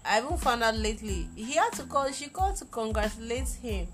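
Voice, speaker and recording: Nigerian female co-host, higher voice, playful and animated, music underneath. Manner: animated, playful, quick bursts of laughter. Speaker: podcast co-host. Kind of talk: casual chat.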